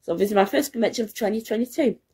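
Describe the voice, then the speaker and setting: A young woman, a student, chatting casually to her phone camera at home, her voice croaky from hay fever and her talk rambling and excitable. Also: mid-range voice, racing. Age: young adult. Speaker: student vlogger. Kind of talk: casual vlog chat. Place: home, indoors. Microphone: phone camera at arm's length.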